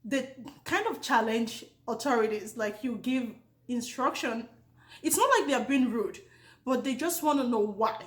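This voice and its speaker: A woman's voice: a little high, measured pace. A woman with a Nigerian accent, co-hosting a casual chat, talking with hesitations. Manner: chatty, with hesitations. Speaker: co-host. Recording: echoey room.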